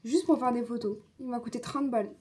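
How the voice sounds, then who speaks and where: A woman's voice: on the high side, fast talker, crowd noise. A young woman from France chatting casually in a travel vlog, slangy and laughing often. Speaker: vlogger. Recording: music over the audio.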